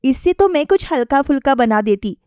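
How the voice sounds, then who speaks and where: A woman's voice: sits high, lively intonation, moderate pace, dark tone, no room sound, studio quality. A woman voicing a wistful young daughter-in-law eager to please, earnest and plaintive, in a story. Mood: hurt, plaintive.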